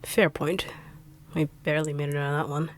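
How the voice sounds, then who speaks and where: Low voice, woman voicing a blunt teasing bodyguard, dry, audio roleplay, faint hiss. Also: steady tone, brisk, close to an everyday voice. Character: fast-talking, no-nonsense, teasing woman bodyguard. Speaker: voice actor.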